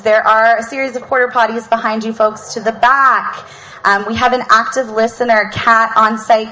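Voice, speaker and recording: A woman hosting an outdoor panel, reading an opening statement in a measured, earnest way, then giving casual announcements. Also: mid-range voice, a bit bright, a little hiss or hum, poor audio. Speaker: event host and panel moderator. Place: outdoor public event.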